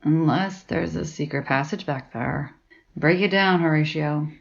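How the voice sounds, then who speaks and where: A bossy, sardonic female librarian played by a woman with a low voice and gruff, commanding delivery. It is an audio drama with slightly muffled sound. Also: a little slow. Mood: impatient, pushy.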